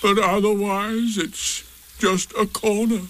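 A man voicing a gloomy, sardonic old donkey in a storybook, with a ponderous, gruff, aggrieved delivery. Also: high-pitched, flat delivery, slow pace, fairly bright tone, slight background noise, pitched above the performer's own voice. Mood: glum, sarcastic. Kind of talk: storybook character reading.

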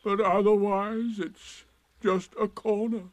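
A man's voice: high-pitched, flat delivery, slow, no echo, voice pushed up. A man voicing a gloomy, sulky old male donkey in a storybook reading, with a mournful, sarcastic drawl.

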